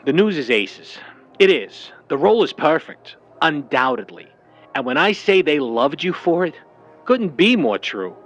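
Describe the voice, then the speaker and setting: An actor playing a slick, devoted old-time talent agent with slangy, careful patter, in a vintage radio drama style. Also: male voice, fairly high pitch, sing-song, a little slow, a bit bright, lo-fi sound, soft background music. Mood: hesitant, careful.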